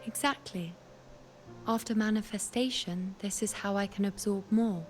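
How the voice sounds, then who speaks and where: An actress voicing a serene, self-assured young priestess who reads fate, measured and airy in a video game. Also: female voice, on the high side, darkish tone. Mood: knowing. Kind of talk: dramatic video game dialogue.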